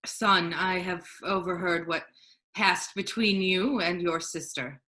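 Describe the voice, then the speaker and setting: A woman playing a disguised duke posing as a grave, counseling friar, in measured, formal Shakespearean verse. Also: on the low side, sharp tone. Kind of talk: staged reading of Shakespeare.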